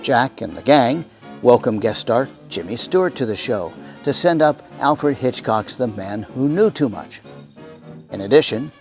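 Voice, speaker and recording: A man hosts with a scripted introduction in a medium-pitched voice. His delivery is very animated, over faint music. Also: a little slow. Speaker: host.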